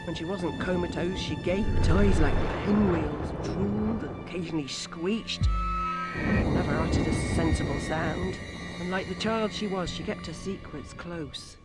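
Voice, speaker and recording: An actor voices a blunt, weary Victorian streetwoman for a game. She has an English accent and a brassy, scolding manner. Music plays loudly behind her. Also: medium pitch, a little slow, close to an everyday voice. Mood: sardonic recollection. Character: brassy, world-weary middle-aged Victorian nanny turned prostitute. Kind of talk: video game voice acting.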